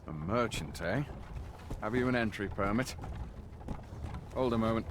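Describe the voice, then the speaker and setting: An actor playing an officious, brusque, commanding gate guard in a video game, over music. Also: male voice, fairly low pitch, expressive, measured pace, background noise. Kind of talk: scripted video game acting. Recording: music behind the voice.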